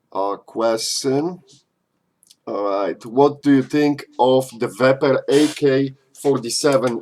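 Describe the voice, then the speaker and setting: A man's voice: medium pitch, darkish tone. A man from Poland, hosting a casual live-stream Q&A and answering chat questions in a loose, chatty way full of fillers. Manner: loose and chatty, frequent "uh" and "you know", slightly non-native phrasing.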